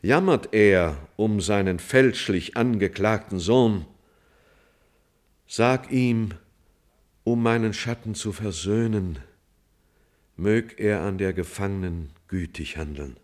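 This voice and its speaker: An actor playing a grief-stricken messenger in a classical verse tragedy for radio, declaiming solemnly in standard German stage diction with drawn-out dramatic phrasing. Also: male voice, fairly low pitch. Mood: mournful, dying plea. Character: grief-stricken male messenger, loyal friend reporting a hero's death.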